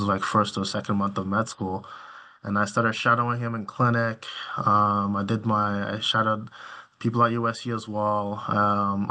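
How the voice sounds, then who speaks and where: A man in his thirties from Nigeria, a physician giving informal interview answers in a halting, colloquial way full of fillers. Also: fairly low pitch, bright, forceful tone. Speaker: physician, podcast guest.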